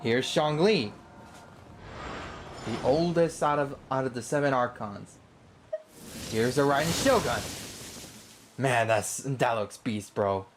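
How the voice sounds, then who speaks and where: A man's voice: medium pitch, slow, long pauses, noisy background. A young man with an enthusiastic, easily delighted manner, giving casual live commentary as he plays a game.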